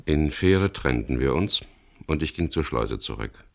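Very deep gravelly skipper. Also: male voice, animated, unhurried, full character voice. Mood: reflective narration. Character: wry, self-assured male boat skipper, crime-story narrator. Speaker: lead actor and first-person narrator. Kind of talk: 1970s German radio crime drama. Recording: noisy old radio drama recording.

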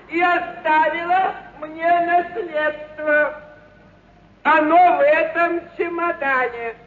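A man voicing a gleeful, boisterous circus clown with exclamatory, drawn-out showman patter in a radio story. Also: extremely high pitch, pitched above the performer's own voice. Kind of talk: theatrical radio story acting. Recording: echoey, muffled, noisy radio production.

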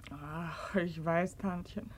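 A woman's voice: fairly low pitch, fairly even intonation, takes it slowly, a little hiss or hum. An actress playing a suffering, resigned young woman in a German radio drama, plaintive and wounded by fate. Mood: pained, resigned.

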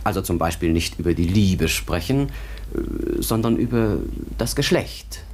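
A man playing a curious, pedantic scholar in a radio play, polite and hesitant, with a husky voice. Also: low-pitched, expressive, measured pace, a little mellow, a little hiss or hum. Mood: fussily curious. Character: pedantic, curious scholar demanding scientific precision.

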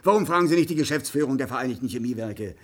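A man's voice: medium pitch, animated, rapid-fire, close to an everyday voice. An actor playing a dismissive, evasive industry spokesman in a German radio play, formal and defensive.

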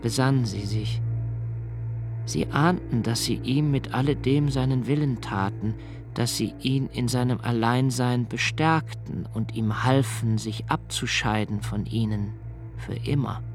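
An actor playing a brooding young male poet, narrating a radio play in a measured, solemn reading over faint music. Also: medium pitch, close to an everyday voice. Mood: grave.

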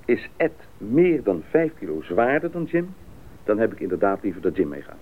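An actor in a radio play playing a capable, decisive pilot who gives brisk, matter-of-fact instructions. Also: male voice, on the low side, very animated. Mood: businesslike. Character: capable, decisive bush pilot and leader of an expedition. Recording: tinny, band-limited old radio play, engine noise.

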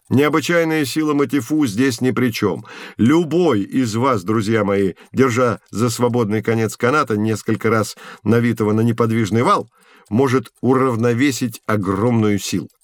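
A man playing a patient, learned science mentor who explains things in a measured, didactic way in an educational audio drama. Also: medium pitch, no room sound, natural voice. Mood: explaining. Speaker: teacher figure.

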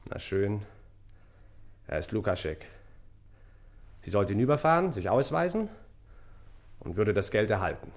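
A cornered crook, cynical and resigned, talks in a low voice. His delivery is terse and grudging, as in a vintage radio crime play. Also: big pitch swings, unhurried, long pauses. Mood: resigned confession. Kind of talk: old German radio crime drama acting.

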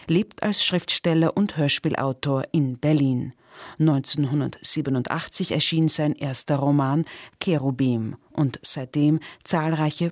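A radio announcer giving a measured, factual scripted introduction. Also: mid-range voice, a little mellow, no echo, clean studio sound.

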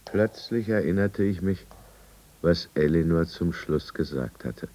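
A man's voice: low-pitched, a little slow, mellow. An actor playing a desperate, besotted drunkard businessman in a 1950s German radio drama. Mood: bleak, remorseful. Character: desperate drunkard businessman, besotted and blustering, later remorseful narrator.

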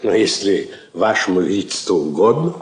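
An actor playing a sarcastic, aggrieved wealthy financier in a Soviet radio play. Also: male voice, deep voice, sing-song, moderate pace. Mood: sardonic, aggrieved. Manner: sarcastic, indignant. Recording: echoey old studio recording.

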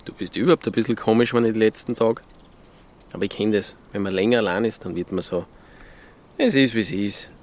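A man's voice: lowish voice, a little fast, darkish tone, close to an everyday voice. A man playing a blunt, hearty mountain countryman with an Austrian accent, talking in a folksy, plain-spoken way. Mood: matter-of-fact. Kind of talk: audio drama dialogue. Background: music behind the voice.

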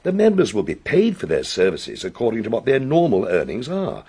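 An actor playing a brisk, impatient, well-to-do English gentleman in a radio drama, forthright and clipped, with an English accent. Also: on the low side, wildly swooping pitch, slightly rough, full character voice. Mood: eager, businesslike.

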